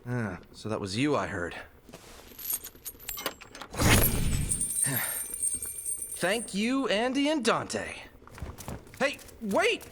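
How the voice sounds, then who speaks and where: A woman playing a wisecracking fox adventurer in an audio drama, wry and bantering, cocky but quick to get flustered. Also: on the low side, wildly swooping pitch, background noise, heavily acted. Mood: dry, teasing. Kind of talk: audio drama cartoon-like acting.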